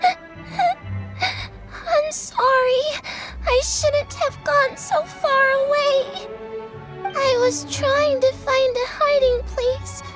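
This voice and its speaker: Tiny, very high-pitched little girl. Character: timid apologetic little girl, small child. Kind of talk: video game character acting.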